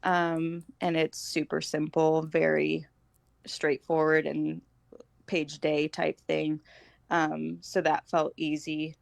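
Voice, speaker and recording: A woman's voice: low-pitched, monotone, slow, vocal fry, no room sound. A woman speaking as a podcast guest in an informal, hesitant chat, often dropping "like" into her sentences. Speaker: podcast guest, homeschooling mother. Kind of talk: casual chat.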